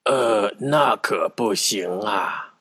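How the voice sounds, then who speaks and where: A man's voice: lowish voice, extremely slow, bright, forceful tone, husky, exaggerated voice. A man playing a breezy, obliging wizard in a children's audio drama, casual and confident, with music behind. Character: breezy, obliging male wizard of a storybook street.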